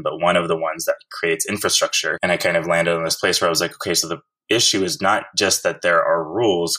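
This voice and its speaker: A man's voice: sits low, pushed and bright. A man in his thirties from Central California, a guest telling his story in a reflective, filler-laden conversational way. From Central California, USA. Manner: reflective, frequent "like" and "kind of" fillers. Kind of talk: casual conversational interview.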